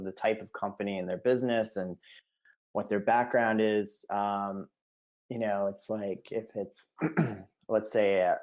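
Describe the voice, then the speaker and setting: A man with a hoarse, fading voice, answering an interview question casually with many fillers and restarts, clearing his throat now and then. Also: lowish voice, rough recording. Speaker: marketing director, podcast guest.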